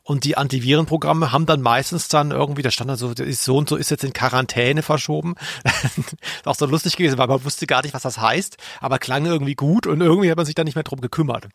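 A man chats casually as a podcast co-host. His mid-pitched voice has plenty of vocal fry. He sounds laid-back and hesitant, in a very dry recording. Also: quick pace, a bit bright, no room sound.

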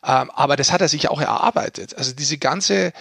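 A man's voice: fairly high pitch, brisk, gravelly, fairly bright tone, breathy edge, no room sound, clean studio sound. A man talking casually and emphatically about sport as a podcast co-host, explaining his points. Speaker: podcast co-host and ice hockey pundit.

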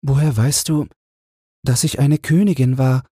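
A man voicing a gentle, pious young queen and mother in a fairy tale audiobook. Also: mid-range voice, fairly even intonation, measured pace, slightly rough, no echo, clean studio sound, pitched above the performer's own voice. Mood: surprised.

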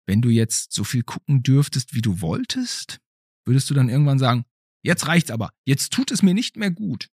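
A man's voice: medium pitch, very animated, moderate pace, darkish tone, husky, no echo, studio quality. A middle-aged man chats casually as a podcast host, asking questions in a playful, inquisitive way. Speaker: podcast host interviewing a child. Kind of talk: casual interview chat.

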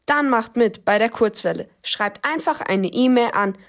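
A woman's voice: fairly high pitch, expressive, moderate pace. A woman announcer reading a scripted promo for a children's radio magazine.

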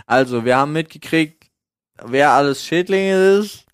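A man's voice: fairly high pitch, expressive, a little slow, clean tone, no echo, studio quality. A young man co-hosting a podcast, joking around in casual, slangy talk. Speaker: podcast co-host. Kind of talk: casual comedic podcast banter.

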